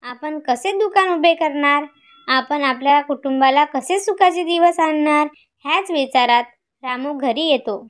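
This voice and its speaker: A woman's voice: high-pitched, unhurried. A woman narrator reading a scripted moral story for a cartoon.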